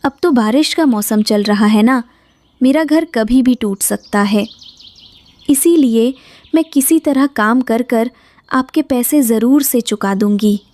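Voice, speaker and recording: A gentle cartoon mother bird, played by a woman, with a mellow, fairly high voice. She is humble, caring and a little worried, speaking in polite, earnest, pleading phrases. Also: slow. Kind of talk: Hindi cartoon dubbing.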